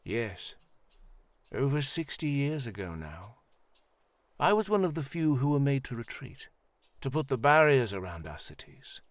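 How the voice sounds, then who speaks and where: A man acts out an aged high priest of a fantasy audio drama. The voice swings widely in pitch, solemn and kindly, with formal phrasing and gentle command. The sound is close and dry. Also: big pitch swings, no room sound. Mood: solemn, reflective. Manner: formal, measured, reassuring.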